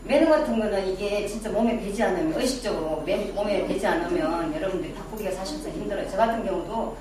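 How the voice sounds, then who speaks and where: A woman's voice: mid-range voice, quick pace. Middle-aged woman, Daegu accent, chatty lecturer who quizzes the class, caught from afar in a very echoey room. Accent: Daegu Gyeongsang dialect. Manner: chatty, quizzes the audience, self-deprecating asides. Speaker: image-making lecturer. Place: lecture room with a small audience. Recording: very echoey room, distant mic, background noise.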